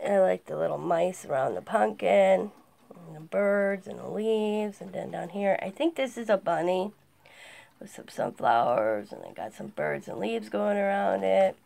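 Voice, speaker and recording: A hoarse woman with a cold, chatting casually as she walks through her planner pages item by item. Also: mid-range voice, expressive, unhurried, dark tone. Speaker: planner vlogger. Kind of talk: casual show-and-tell narration. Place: indoors at a craft desk. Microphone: camera pointed down at the desk, speaker off-screen.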